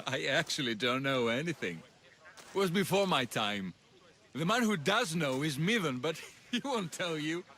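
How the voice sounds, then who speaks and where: A man's voice: fairly high pitch, very animated, a little slow, pauses often, sharp tone, full character voice. An actor playing a smug, cagey, mocking man who keeps secrets, in a video game.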